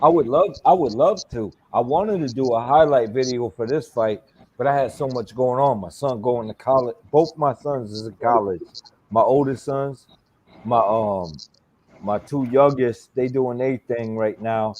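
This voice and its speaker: A man's voice: medium pitch, moderate pace, a little mellow, muffled recording. A man in his forties telling stories in a loose, laughing way, swearing freely in casual chat. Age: 40s.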